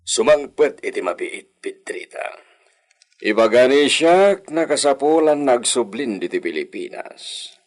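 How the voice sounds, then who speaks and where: Slow, solemn ageing Filipino hacienda master. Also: male voice, mid-range voice, big pitch swings. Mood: commanding, wistful. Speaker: radio drama actor. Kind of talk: Ilocano radio drama acting.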